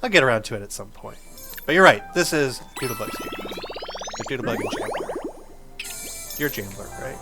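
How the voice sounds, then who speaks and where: A man's voice: medium pitch, animated, fairly quick, long pauses, pushed and bright. A man hosting a gaming video, giving casual, enthusiastic gameplay commentary in an informal, explaining way. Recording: game music behind the voice.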